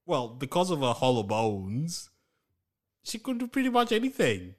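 Lively-voiced man from Kenya on a podcast. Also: medium pitch, moderate pace. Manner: short replies, laughs a lot, lively voice. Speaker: podcast co-host. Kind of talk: casual chat about a TV show.

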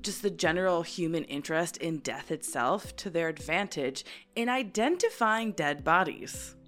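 A bubbly woman co-hosting a history podcast, talking in a fairly low voice for a woman. She chats loosely and giggles often, with faint music behind her. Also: lively intonation, moderate pace, a bit bright. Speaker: podcast co-host. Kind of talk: casual history podcast chat.

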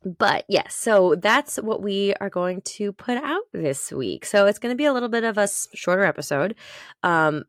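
A woman's voice: medium pitch, expressive, moderate pace, no room sound, clean studio sound. A woman hosting a podcast solo, chatting casually in a gushing, emphatic, rambling way. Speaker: podcast host.

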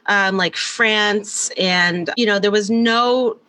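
A woman's voice: mid-range voice, slow pace, bright, forceful tone. A woman born in Canada and raised in California, chatting as an interview guest, rambling with lots of fillers. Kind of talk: casual conversational interview.

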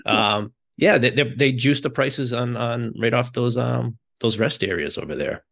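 A man's voice: medium pitch, normal speed, creaky, a bit bright. An American man hosting a podcast in casual chat, with rambling, stumbling phrasing as he puts his questions together. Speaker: podcast host.

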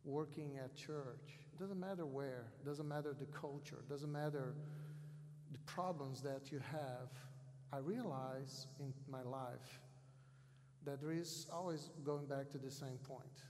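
Slow-speaking South American preacher in echoing hall. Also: male voice, medium pitch, darkish tone, slight background noise. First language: not English. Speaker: missionary preacher, guest speaker at a conference. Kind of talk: sermon. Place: large hall or church, speaking to an audience. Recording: long hall reverb over PA system.

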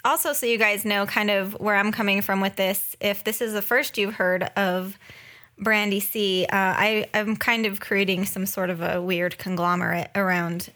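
Woman, thirties, podcast co-host, medium pitch, casual chat, informal, self-deprecating humor. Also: little pitch movement, normal speed, sharp tone. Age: 30s. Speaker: podcast co-host and graphic designer. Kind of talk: casual chat introducing herself.

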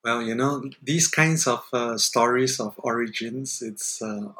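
A man's voice: medium pitch, measured pace, bright, forceful tone. A man from Singapore, an artist guest in a casual interview, speaking thoughtfully and hesitantly with many fillers.

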